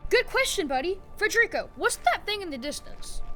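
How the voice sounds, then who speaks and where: Actress as a principled young hero for audio drama, high-pitched voice, thoughtful and sincere, light music underneath. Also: female voice, sing-song, fairly quick, pushed and bright, full character voice. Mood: curious. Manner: earnest, reflective. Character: principled, gentle young hero, accepting of loss. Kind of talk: scripted audio drama acting.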